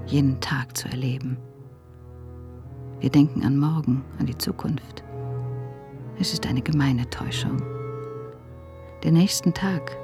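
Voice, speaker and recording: An actress playing a grave, anxious female physician on a space crew in a German radio drama, speaking in a measured, pensive way. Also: deep voice. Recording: music under the voice.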